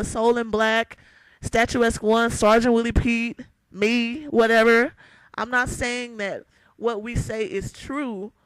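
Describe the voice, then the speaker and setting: A young woman with a raspy voice, ranting heatedly and emphatically in a vlog, repeating her points. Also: a little high, no room sound, rough recording. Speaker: vlogger. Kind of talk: ranting to camera.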